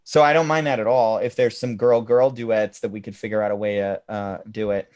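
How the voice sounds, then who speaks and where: A man with a deep voice co-hosting a podcast in casual chat, hesitant and full of ums and likes. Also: steady tone, brisk, darkish tone. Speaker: podcast co-host.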